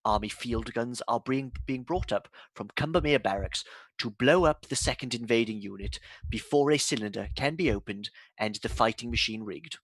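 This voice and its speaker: A steady radio announcer reads battlefield bulletins in a radio drama, played by a man. His voice sits at a mid pitch, and his delivery is even and measured. The sound is close and dry, with no room around it. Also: no room sound, poor audio. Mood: grave. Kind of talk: scripted bulletin reading.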